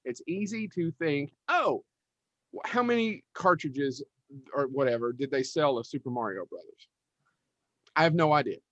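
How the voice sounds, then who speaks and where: A man's voice: on the high side, lively intonation, moderate pace, pauses often, a bit bright, no room sound, dull audio. A man co-hosting a casual podcast panel, talking in an emphatic, rambling, informal way. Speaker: podcast co-host.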